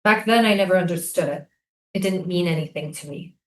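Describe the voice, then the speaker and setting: Female soldier, read by a woman on stage: earnest, haunted, slightly low register, echoing and distant. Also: fairly quick, a little hiss or hum, close to an everyday voice. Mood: searching. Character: female American soldier interrogator, searching, haunted, intimate. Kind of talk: staged play reading. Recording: echoey room, distant from microphone.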